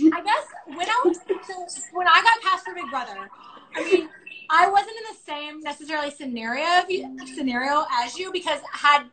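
A woman of thirty hosts an informal live chat on her phone. Her voice is very high and bright, with a sharp, forward edge. She talks in a bubbly, self-deprecating way and throws in the odd swear word. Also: poor audio. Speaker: interview host. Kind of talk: casual live chat interview. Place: at home on a phone live stream.

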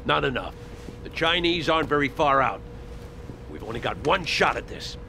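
An actor playing a stern, harried colonel giving orders in a war game briefing. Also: male voice, a little high, lively intonation, moderate pace, sharp tone, full character voice. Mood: urgent. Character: stern harried army colonel under pressure. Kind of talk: military briefing drama. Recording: clear vehicle noise behind.

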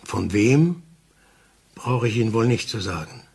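Husky, deliberate older detective. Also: male voice, lowish voice, expressive, a little mellow, exaggerated voice. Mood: grave, insistent. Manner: deliberate, dramatic pauses, explanatory. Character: methodical older male detective, calm and insistent. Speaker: actor in a crime radio play. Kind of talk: German crime radio play acting.

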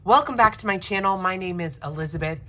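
Raspy-voiced woman, bright and chatty. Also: medium pitch, fast. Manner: enthusiastic, chatty, frequent throat clearing. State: raspy from recovering illness. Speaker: planner vlogger. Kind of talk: casual unboxing chat. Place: home desk.

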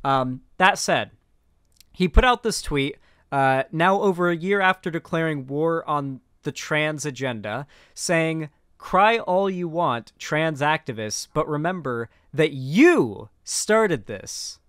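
Higher-pitched young American man, streaming commentary. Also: animated, takes it slowly, fairly bright tone, smooth, no echo, studio quality. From central West Florida, American South. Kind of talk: casual opinionated commentary to a livestream audience. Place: small home streaming room, indoors. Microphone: close microphone on boom arm, headphones on.

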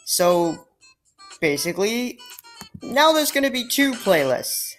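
A woman's voice: fairly high pitch, big pitch swings, slow pace, fairly bright tone. A woman commentating on a game she is playing, in a casual, exasperated and sarcastic way, often sighing. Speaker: let's play gamer. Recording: faint game music behind.

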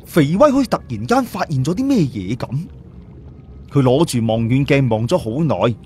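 Guy reading an audiobook, very animated, dramatic, bit of noise behind. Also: medium pitch, normal speed. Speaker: audiobook narrator. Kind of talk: dramatic scripted story narration.

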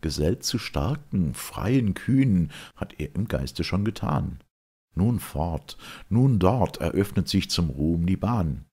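A man's voice: low voice, expressive, takes it slowly, warm tone, slightly rough, heavily acted. A man voicing a proud, reckless winged youth who yearns for war, declaiming verse with passion. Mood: defiant. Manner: declamatory, impassioned verse delivery.

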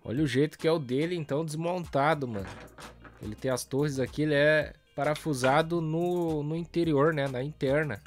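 A man with a Brazilian accent, hoarse and nasal from a cold, chatting casually in slang while he customizes a toy truck on camera. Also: a little high, moderate pace, slight background noise. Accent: Brazilian Portuguese. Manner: relaxed slangy chatter, talks while working. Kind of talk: casual hands-on tutorial narration.

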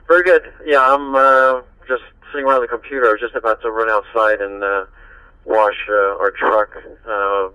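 A man's voice: medium pitch, pushed and bright, dry recording, rough recording. A man chatting casually over a two-way digital radio, friendly and rambling as an amateur operator. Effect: two-way digital radio transmission.

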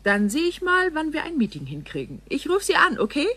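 An actress playing a blunt, opportunistic woman with a husky voice, talking in a hesitant conversational way in a sci-fi radio drama. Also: sits high, huge pitch swings, brisk, bright, forceful tone, heavily acted. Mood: scheming, casual. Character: blunt, opportunistic worldly woman, brokering a deal. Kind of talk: science fiction radio drama acting.

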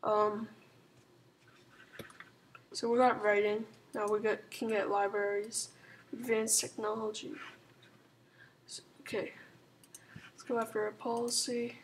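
A woman with a sore throat and a hoarse, sick voice, rambling softly through casual strategy game commentary with lots of ums and likes. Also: medium pitch, flat delivery, takes it slowly, long pauses, a little hiss or hum. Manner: rambling, full of "like" and "um", soft-spoken. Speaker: gaming channel host.

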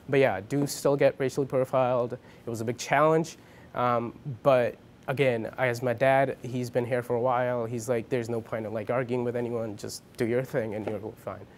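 Young male college student, Punjabi first language, seated indoor interview, halting and filler-heavy, mid-range voice. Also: medium pitch, a little hiss or hum. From India, Punjab. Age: young adult. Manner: hesitant, many ums and restarts. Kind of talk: casual interview answers. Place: dim indoor room with a lamp.

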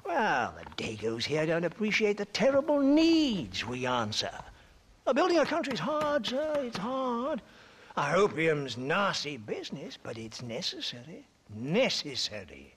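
An actor playing a dissolute, jovial middle-aged English trader in a Western video game, stammering and pompous, with a British accent. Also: male voice, high voice, wildly swooping pitch, measured pace, fairly bright tone, a little hiss or hum, heavily acted. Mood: grateful, evasive. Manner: blustering, stammering, pompous, tags phrases with "what?". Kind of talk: video game cutscene acting.

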